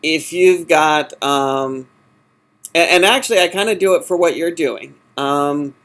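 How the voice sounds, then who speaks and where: Guy in a job interview, voice a bit high, halting, keeps fixing his words. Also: fairly bright tone. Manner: halting, hesitant, self-correcting. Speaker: job candidate in user experience design. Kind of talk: phone job interview, informal answers.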